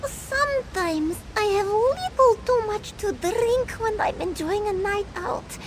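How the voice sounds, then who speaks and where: A woman's voice: very high voice, expressive, slow, background noise, exaggerated voice. A woman voicing a small, sassy, party-loving cat woman in a video game, flippant and easily indignant. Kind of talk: AI-voiced video game quest dialogue.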